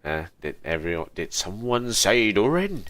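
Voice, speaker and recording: A man's voice: on the low side, sing-song, moderate pace, noisy background. A man reacting casually on a game livestream, with offhand muttering and playful sing-song asides. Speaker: game streamer. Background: game music.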